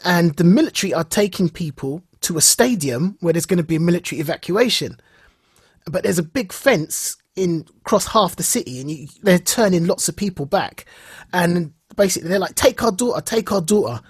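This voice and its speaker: A man co-hosting a podcast, recounting a show in casual, animated chat and repeating phrases for emphasis. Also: high voice, fairly quick, breathy edge, dry recording, studio quality. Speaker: podcast co-host.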